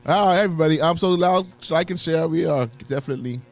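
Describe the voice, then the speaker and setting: A man's voice: on the high side, fast talker, vocal fry, soft background music. A man co-hosting a morning radio show, joking and laughing through casual banter. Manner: jokey, laughs often, hypes the show start. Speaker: radio co-host. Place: radio studio.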